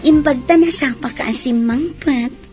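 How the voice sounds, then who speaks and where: A woman's voice: high-pitched, unhurried, a little mellow, faint music behind. A woman playing a possessive, needling mistress in a radio drama.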